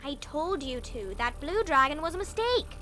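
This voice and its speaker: A woman's voice: sing-song, normal speed, faint music behind, exaggerated voice. A woman voicing a small, feisty fairy ranger in an audio drama, bold and defensive. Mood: defensive, icy. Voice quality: bright, high-pitched.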